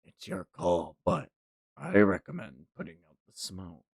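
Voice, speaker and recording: Man doing a low, deadpan renegade ninja. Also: measured pace, gravelly, slightly rough, dry recording, clean studio sound, full character voice. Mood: cool, resolute. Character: terse, cool, deliberate renegade ninja.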